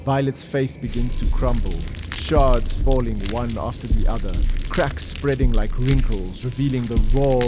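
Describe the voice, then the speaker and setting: A man reading dramatic scripted narration in a measured, solemn manner. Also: medium pitch. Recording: loud music under voice. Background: loud dramatic music.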